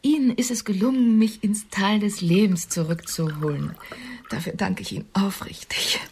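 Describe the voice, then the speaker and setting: A woman's voice: medium pitch, fairly even intonation, moderate pace, a little mellow, slight background noise, natural voice. An actress playing an older, sober working woman in a radio drama, speaking in a dry, formal, reserved way with tenderness underneath. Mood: moved, formal.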